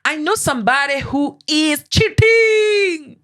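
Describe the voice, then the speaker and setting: A woman with a Kenyan accent hosting a casual podcast chat, animated and teasing, mixing English with Swahili. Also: high voice, slow pace. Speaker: podcast host.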